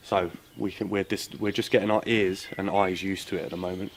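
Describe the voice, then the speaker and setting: Paranormal show host, male, lowish register, informal field commentary, recorded outside with noticeable ambient noise. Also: steady tone, fairly quick. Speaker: paranormal investigator hosting an exploration video. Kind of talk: casual on-location ghost-hunt commentary, calling out questions. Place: outdoors in a rural spot. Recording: noisy outdoor location sound. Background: birds and outdoor ambience.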